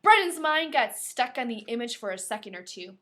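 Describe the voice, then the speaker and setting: A woman's voice: on the high side, very animated, brisk, a bit bright. A woman podcast host reading a novel aloud expressively, breaking into playful, casual asides.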